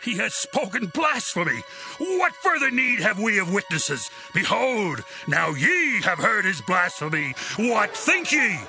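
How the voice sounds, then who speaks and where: An imperious high priest in a scripture audio drama. The voice is high and gravelly, declaiming accusations in wide, dramatic swings over music. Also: male voice, sing-song, measured pace, exaggerated voice. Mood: outraged. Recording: background music under the voice.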